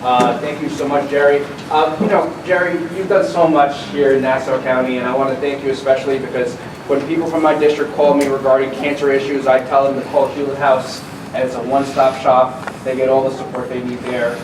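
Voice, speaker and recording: A male legislator giving thanks at a community event, with many pauses and uhs. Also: mid-range voice, flat delivery. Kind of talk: thank-you speech. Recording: echoey room, distant mic, noisy.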